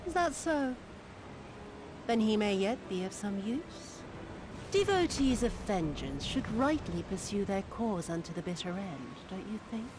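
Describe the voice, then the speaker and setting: Game villainess female actor: scornful castle commander, deliberate and slow, theatrical delivery over background noise. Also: mid-range voice, animated, close to an everyday voice. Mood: cold mockery. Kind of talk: dramatic video game cutscene acting.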